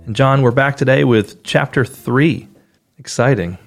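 A man hosting a church podcast, cheerful and quick to laugh, raised in New England. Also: medium pitch, creaky, faint music behind. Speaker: podcast host. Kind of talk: casual podcast chat.

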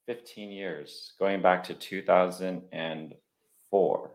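Guy hosting a podcast, lowish voice, easygoing chatty way of talking, kinda echoey room. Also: slow pace, gravelly. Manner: relaxed, conversational with fillers. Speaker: podcast host. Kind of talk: podcast interview. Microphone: remote recording.

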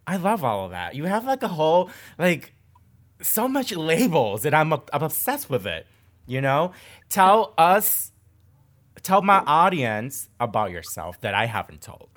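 A man's voice: a little high, wildly swooping pitch, a little slow, a bit bright. Chatty man hosting a podcast. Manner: chatty, enthusiastic. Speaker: podcast host. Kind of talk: casual interview conversation.

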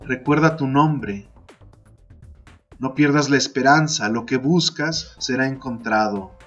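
A man narrating a literary fantasy poem as a podcast host, in a measured, deliberate storytelling style. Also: mid-range voice, a bit bright, highs cut off. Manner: measured, deliberate, second-person storytelling delivery. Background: music.

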